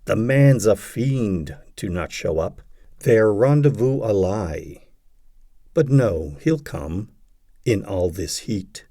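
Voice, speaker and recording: Slow, expressive man reading poetry. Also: lowish voice, no echo, studio quality. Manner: measured, deliberate phrasing. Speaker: audiobook narrator reading poetry. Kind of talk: scripted poetry recitation.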